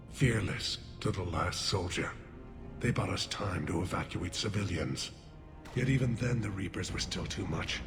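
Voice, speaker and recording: A grave, proud alien commander from a video game, talks in a low voice with a metallic double edge. The delivery is formal and solemn, carried on a long reverb with music underneath. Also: male voice. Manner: formal, solemn, measured oratory. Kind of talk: video game dramatic acting.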